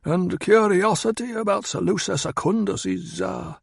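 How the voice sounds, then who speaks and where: A man's voice: high-pitched, moderate pace, creaky, breathy edge, no echo, clean studio sound, voice pushed up, full character voice. A man doing a scornful old mentat. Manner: clipped, cutting, sardonic. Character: cold, scornful old male mentat advisor.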